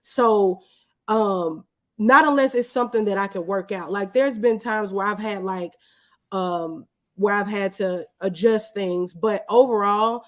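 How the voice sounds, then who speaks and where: A woman's voice: medium pitch, measured pace. A woman in her thirties talking casually as an interview guest, in a candid, humble, reflective way. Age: 30s. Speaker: guest, videographer.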